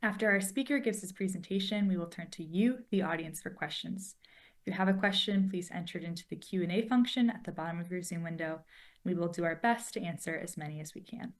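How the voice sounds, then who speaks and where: A woman hosting a webinar, reading clear, formal opening announcements. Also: lowish voice, fast talker. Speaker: webinar host. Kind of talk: scripted introduction.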